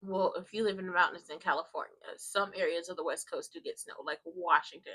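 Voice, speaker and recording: A woman's voice: on the low side, fairly even intonation, brisk, bright, forceful tone, husky, poor audio. A woman hosting a live stream who talks in a rambling, chatty way straight to her viewers while she is congested. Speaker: live-stream host of a soap watchalong. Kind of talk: casual live-stream chat.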